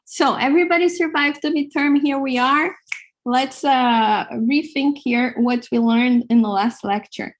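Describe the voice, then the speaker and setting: Slow-paced woman lecturer, Hebrew accent. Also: high-pitched. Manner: halting, many fillers, laughs often. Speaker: university lecturer. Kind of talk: classroom lecture. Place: large lecture hall.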